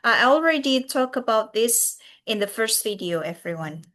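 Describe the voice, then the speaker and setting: A woman from Central Java with a Javanese accent, giving a recorded English lecture, pausing often with "uh" and "yeah" and addressing the class as everyone. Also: fairly high pitch, expressive, fairly bright tone. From Central Java, Indonesia. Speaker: university lecturer.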